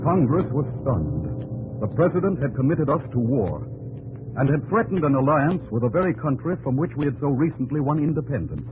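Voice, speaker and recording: An actor playing an earnest, loyal young army captain who narrates and acts in a 1950s radio drama, solemn and respectful. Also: male voice, medium pitch, very animated, brisk, narrow, tinny audio, background noise, close to an everyday voice. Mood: solemn narration. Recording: old radio broadcast with music bed.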